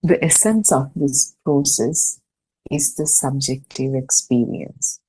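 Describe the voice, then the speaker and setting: Slow, low-pitched woman reading, husky and gravelly. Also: dark tone, low-quality recording. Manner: measured, deliberate, with pauses between short phrases. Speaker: reader and host of an online Buddhist study session. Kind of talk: reading a religious discussion text aloud. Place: online video meeting.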